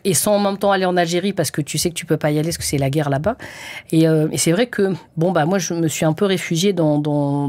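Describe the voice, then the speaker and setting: A woman's voice: low-pitched, a little mellow, no room sound. A woman in her forties from France, a guest conductor answering interview questions in an earnest, hesitant way with many fillers. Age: 40s. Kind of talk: casual interview talk.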